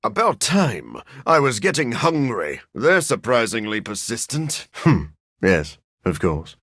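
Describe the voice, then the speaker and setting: An actor playing a brooding, scholarly vampire in a video game, a man with a husky, gravelly voice and a dry, formal manner. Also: medium pitch, wildly swooping pitch, measured pace, fairly bright tone, dry recording, heavily acted. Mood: forceful, menacing. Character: brooding, scholarly living vampire scientist, grim and awkward, adult man. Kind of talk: video game character acting.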